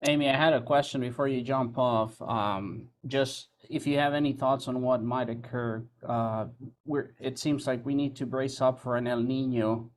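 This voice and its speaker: A man's voice: mid-range voice, fairly even intonation, moderate pace. A man from Rica, asking a curious, rambling question on a video call. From Costa Rica. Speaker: audience member.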